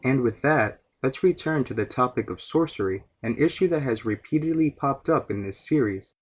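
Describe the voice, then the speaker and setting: A man's voice: medium pitch, animated, normal speed, breathy edge. A man hosting a podcast, giving scripted, explanatory narration about fantasy lore. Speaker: podcast host.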